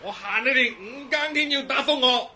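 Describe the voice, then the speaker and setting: An actor playing a gloating, menacing Mongol commander in a Cantonese radio drama, shrill and theatrically taunting. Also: male voice, normal speed, pushed and bright, exaggerated voice. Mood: gloating, threatening.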